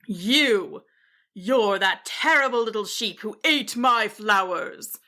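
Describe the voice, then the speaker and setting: A woman's voice: mid-range voice, takes it slowly, sharp tone. A woman voicing a grumpy, pompous old male wizard in a storybook, snarling and huffy.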